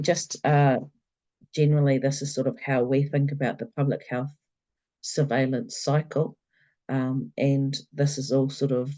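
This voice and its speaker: A woman with a New Zealand accent giving a conference presentation over a video call, her voice croaky, hesitating often with fillers and restarts. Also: a bass voice, dark tone. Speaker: public health conference presenter.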